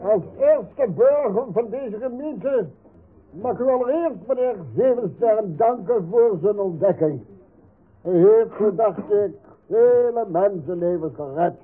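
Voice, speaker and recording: Pompous high-voiced mayor. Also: male voice, animated, slow pace, pauses often. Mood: ceremonious. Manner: ceremonious, formal speech-making. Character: pompous small-town mayor, grateful and festive. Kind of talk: radio drama acting. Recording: tinny narrow-band radio recording, loud noise.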